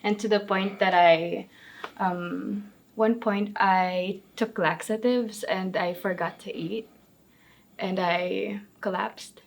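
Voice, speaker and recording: A woman's voice: mid-range voice, steady tone, slow pace, vocal fry. A woman speaking candidly and a bit hesitantly in a group discussion. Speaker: interview participant.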